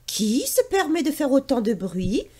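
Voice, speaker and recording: Cast: a know-it-all storybook bird, ironic and scolding, read by a woman; slow, lively delivery. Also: sits high, heavily acted.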